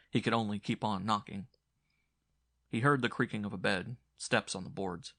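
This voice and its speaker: A man's voice: fairly low pitch, creaky, fairly bright tone, no echo, clean studio sound. A man giving a dramatic scripted narration of a horror story as an audiobook narrator.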